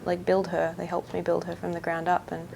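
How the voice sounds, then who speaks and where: A woman's voice: fairly low pitch, completely flat delivery, a little fast, gravelly, darkish tone, a little hiss or hum, low-quality recording. A young woman from Australia with an Australian accent, chatting politely as an actress guest in a backstage interview, often trailing off. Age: young adult. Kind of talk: casual interview chat. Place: backstage room at a theater.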